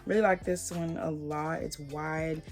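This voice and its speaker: A woman with a slightly hoarse voice, chatting casually and rambling as she reviews hair products. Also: low voice, steady tone, unhurried, faint music behind. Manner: chatty, rambling, lots of fillers. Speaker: hair vlogger.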